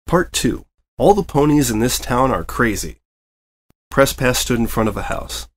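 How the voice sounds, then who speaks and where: A man narrates a written story aloud. His mid-pitched voice carries the scripted prose expressively, as a reader of fan fiction would. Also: animated, moderate pace.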